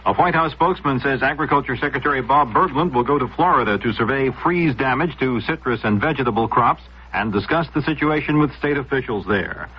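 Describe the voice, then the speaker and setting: Man, American accent, scripted newscast, husky, measured, muffled old radio. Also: medium pitch. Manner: formal, measured. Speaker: radio news anchor. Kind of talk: scripted news reading. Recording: dull vintage radio sound.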